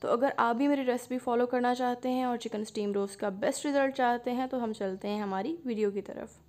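A woman narrates a home cooking video in a calm, instructive voice. Her voice sits a little high, and she walks through each step patiently. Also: a little fast. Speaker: cooking channel host. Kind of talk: recipe voice-over narration.

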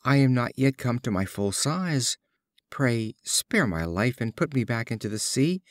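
A man's voice: mid-range voice, sing-song, a little slow, no room sound, clean studio sound. A man voicing a small fish in a storybook fable, humbly pleading for its life.

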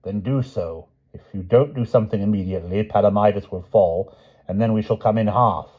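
A man's voice: on the low side, moderate pace, husky, dull audio. A man voicing a pompous, testy old knight who blusters in a stiff, upper-class manner.

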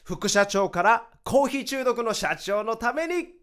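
Radio drama, exuberant, chatty male startup co-founder; high bright voice, friendly casual banter. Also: expressive, unhurried, exaggerated voice. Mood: excited, cheerful. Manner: exuberant, chatty, swinging from cheers to concern. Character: excitable, upbeat male vice president of a new startup.

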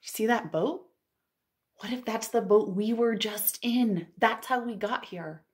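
A woman in her thirties hosting a storytime for young children, reading aloud and chatting in an expressive, exclamatory way. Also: fairly high pitch, a little slow. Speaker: children's librarian and storytime host. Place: living room at home.

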